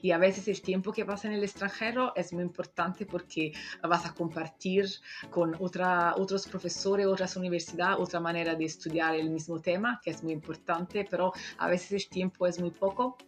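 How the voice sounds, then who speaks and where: A woman who learned Spanish as a second language, talking casually as a doctoral researcher and pausing often with fillers. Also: fairly low pitch, fairly even intonation. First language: not Spanish. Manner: hesitant, many fillers and restarts. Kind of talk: casual conversation.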